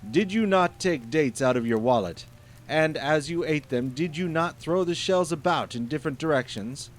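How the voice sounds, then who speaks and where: A man voicing a huge, ancient, vengeful male genie, booming and menacing in archaic speech, with faint music and rain behind. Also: measured pace, a bit bright, lightly acted.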